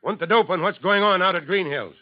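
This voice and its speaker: An actor playing a clipped, businesslike fire chief in a 1950s radio drama, old recording. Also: male voice, high voice, fairly quick, highs cut off, natural voice. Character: brisk fire chief on the phone, middle-aged man.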